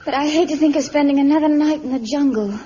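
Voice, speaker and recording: A woman acting a caring, anxious young traveler in an old radio adventure serial, soft-hearted and fretful. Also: high voice, quick pace, natural voice. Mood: gentle, concerned. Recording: muffled, noisy vintage radio recording.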